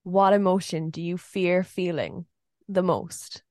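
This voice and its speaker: Chatty podcast woman, voice a bit deep. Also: steady tone, a little mellow, no echo, studio quality. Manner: chatty, quick, giggly. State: voice a bit deeper than usual after a night out. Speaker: podcast co-host. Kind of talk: casual chat. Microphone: podcast microphone.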